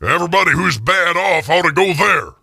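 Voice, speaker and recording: Bitter old miser, gravelly, forceful, curt and sarcastic, swooping pitch, radio drama. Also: male voice, animated, brisk, no echo, studio quality, heavily acted. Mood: cold, sarcastic. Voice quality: raspy, gravelly.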